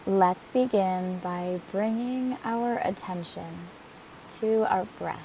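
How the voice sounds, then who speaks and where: A woman leading a guided meditation in a gentle, soothing manner with deliberate phrasing. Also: mid-range voice, low-quality recording. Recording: steady hiss behind the voice. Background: hiss, rushing waterfall water.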